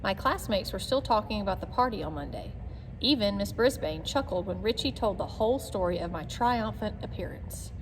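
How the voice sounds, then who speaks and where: A woman with a mid-pitched voice narrates a children's storybook expressively, in clear phrases. The recording has long reverb and some noise behind her. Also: expressive, brisk. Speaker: read-aloud reader.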